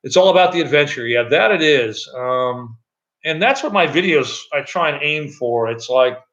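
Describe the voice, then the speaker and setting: A middle-aged man with a loud voice, chatting loosely on a live stream from an echoey room at home, with many fillers and restarts. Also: fairly high pitch, animated, normal speed, muffled recording. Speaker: channel host. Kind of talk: casual live-stream chat.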